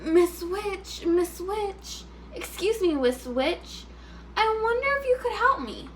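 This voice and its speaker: A woman voicing a whiny, eager, unhappy frog-turned prince with an excitable manner in a children's storybook read-aloud. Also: extremely high pitch, slow, a little hiss or hum, voice pushed up, exaggerated voice, low-quality recording. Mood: eager pleading. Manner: whining, excitable.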